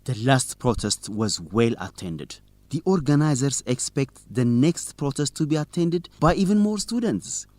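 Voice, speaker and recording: An actor playing a principled, stubborn young student journalist in an educational radio drama, speaking expressively and clearly. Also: male voice, medium pitch, lively intonation, measured pace, a little mellow, dry recording, natural voice. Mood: firm, defiant.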